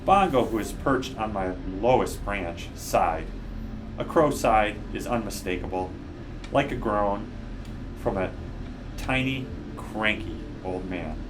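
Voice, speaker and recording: A man reading a children's book aloud with measured, deliberate phrasing. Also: on the low side, expressive, noisy background. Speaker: guest storybook reader. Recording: music behind the voice.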